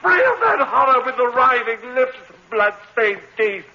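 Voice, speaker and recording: A tormented, melodramatic murderer, swinging wildly in pitch, with a sharp, bright edge. It is vintage 1950s horror acting on a dull old recording. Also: male voice, lively intonation, unhurried, slight background noise, exaggerated voice. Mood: frenzied. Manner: frantic, melodramatic, overwrought. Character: anguished condemned murderer haunted by a demon woman. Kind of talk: 1950s radio-style horror drama acting.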